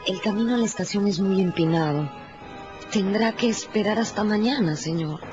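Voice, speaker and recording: An actress playing a polite, anxious village innkeeper in a radio drama, deferential, fussing and curious. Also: female voice, mid-range voice, normal speed, close to an everyday voice. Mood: polite, hesitant. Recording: muffled radio drama with music underneath.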